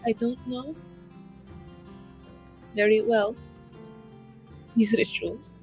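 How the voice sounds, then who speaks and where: A woman playing a grieving, tender fantasy adventurer in a tabletop game, speaking a halting, gentle farewell. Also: on the high side, fairly even intonation, faint music behind, voice pushed up. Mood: solemn, tender. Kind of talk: in-character tabletop roleplay.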